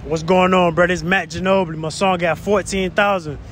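A man's voice: sits high, fairly even intonation, moderate pace, fairly bright tone, a little hiss or hum. A young man interviewed on a beach promenade, blunt and crude, plugging his music into a handheld mic. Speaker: interviewee, aspiring rapper.

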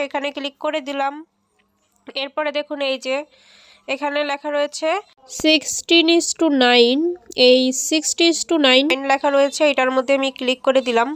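Woman, high pitch, little pitch movement, casual tutorial host, step-by-step delivery. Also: a bit bright, no room sound.

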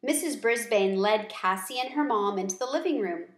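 A middle-aged woman reading a children's book aloud with deliberate, expressive storytelling phrasing. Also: medium pitch. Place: small room at home. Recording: roomy home recording.

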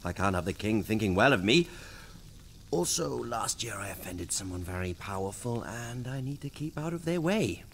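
An actor playing a vain, flippant young wizard in a radio drama, haughty and theatrical. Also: male voice, fairly low pitch, lively intonation, normal speed, creaky, a little hiss or hum. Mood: boastful, dismissive. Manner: haughty, flamboyant, evasive.

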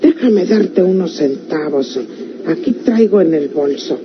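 A woman's voice: fairly low pitch, animated, a little slow. A woman with a rural Mexican accent playing a plain-spoken, resolute country woman in a radio serial, earnest and rustic. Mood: matter-of-fact. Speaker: actress in a radio drama. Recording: muffled old radio recording, clear noise.

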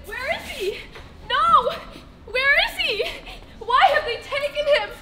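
A woman acting an impassioned female disciple of Jesus in a live church stage play, with dramatic pauses. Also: very high voice. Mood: anguished. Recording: large hall with audience noise.

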